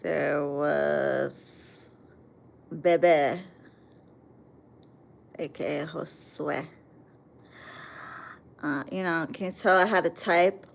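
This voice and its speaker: A woman's voice: sits low, steady tone, drawn-out words, vocal fry, long pauses. An American woman chatting bluntly on a livestream, reading viewer comments and answering them with plenty of swearing. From United States. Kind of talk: casual livestream chat.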